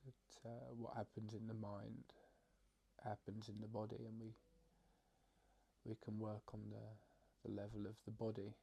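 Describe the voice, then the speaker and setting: A man with a lowish, gravelly voice leads a guided meditation. His delivery is very even and calm, broken into short, hesitant phrases with long pauses. He sounds soft-spoken and gentle, like a teacher easing people into practice. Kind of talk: guided meditation, unscripted instruction.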